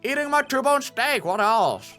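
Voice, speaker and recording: A man's voice: extremely high pitch, sing-song, normal speed, bright, forceful tone, faint music behind, voice pushed up, heavily acted. A man voicing a flippant, cocky witness in a courtroom video game, with theatrical delivery. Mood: indignant.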